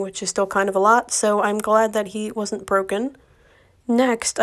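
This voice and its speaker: A young woman with a slightly raspy voice from a recent cold, chatting casually to viewers as she narrates her decorating vlog. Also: mid-range voice. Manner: chatty, friendly, addresses viewers directly. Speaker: home decor vlogger.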